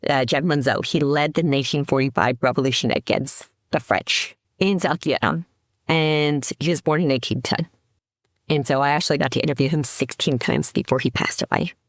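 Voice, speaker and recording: A woman's voice: low voice, fairly quick, no room sound, clean studio sound. A middle-aged woman from the Seattle area, a podcast guest, telling her story in a reflective way with many restarts. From Seattle area, United States. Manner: reflective, with frequent restarts and fillers. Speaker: podcast guest, company CEO. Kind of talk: casual interview talk.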